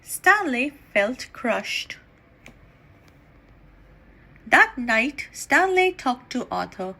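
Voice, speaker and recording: Bright, high-voiced woman reading a children's story. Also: vocal fry. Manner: animated, deliberate storytelling with acted dialogue. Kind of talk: expressive read-aloud storytime narration.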